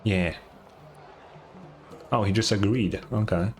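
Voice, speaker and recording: A man's voice: on the low side, takes it slowly, slight background noise. A man who is not a native English speaker, giving casual commentary on a game, musing aloud and swearing. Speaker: gaming streamer. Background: game music.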